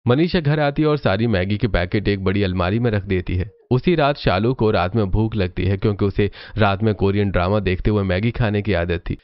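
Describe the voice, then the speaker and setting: A man's voice: fairly low pitch, a little fast, hardly any pauses, clean tone, muffled recording. A man reading scripted storybook narration for a cartoon.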